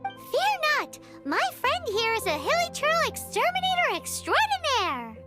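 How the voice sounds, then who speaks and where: A woman's voice: extremely high pitch, huge pitch swings, measured pace, over loud music, exaggerated voice. A woman voicing a tiny, chirpy fairy companion girl who speaks bluntly and refers to herself by name.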